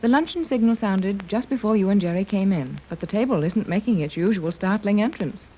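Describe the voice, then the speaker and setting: A worried, motherly middle-aged woman played by an actress in an old radio serial, and she speaks in a gentle, anxious way. There is faint hiss in the muffled recording. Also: mid-range voice, fairly quick, close to an everyday voice. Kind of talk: 1930s radio serial acting.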